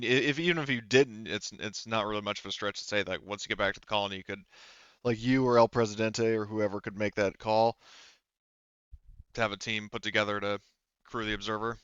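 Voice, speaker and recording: A man narrating a tabletop roleplaying game as game master, in halting phrases with frequent self-corrections. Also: fairly low pitch, fairly even intonation, fairly bright tone, smooth, dry recording, dull audio.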